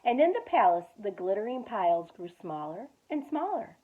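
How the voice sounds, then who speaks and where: A woman youth director reading a children's storybook aloud, expressive with dramatic pauses. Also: medium pitch. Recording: tinny phone-like audio.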